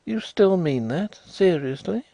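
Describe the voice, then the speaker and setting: A man voicing an excitable gentleman investigator who speaks hastily and with emphasis. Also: high-pitched, measured pace, vocal fry, no echo, pitched above the performer's own voice, heavily acted. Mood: emphatic. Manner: hasty, emphatic.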